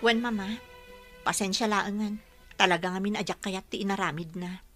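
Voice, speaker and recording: A woman's voice: medium pitch, steady tone, moderate pace, a bit bright, soft background music, close to an everyday voice. An actress playing a hurt, principled young woman in a radio drama, with a Filipino accent. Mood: hurt, subdued. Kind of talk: Ilocano radio drama acting.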